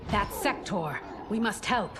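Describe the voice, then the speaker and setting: A woman's voice: medium pitch, animated, slow pace, music in the background. An actress playing a proud, devoted warrior woman in a dramatic video game. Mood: indignant.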